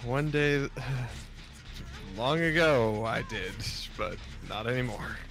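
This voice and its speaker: A man's voice: medium pitch, lively intonation, takes it slowly, over music. A man chatting casually with others while playing a game. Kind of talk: casual gaming chat.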